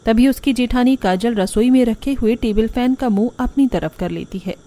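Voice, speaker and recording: High-pitched woman narrating a cartoon story. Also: moderate pace, mellow.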